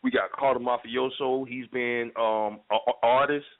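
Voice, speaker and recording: A man's voice: medium pitch, steady tone, moderate pace. Man talking over a tinny phone line. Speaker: radio show host appearing as guest. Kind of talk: conversational promotional interview talk.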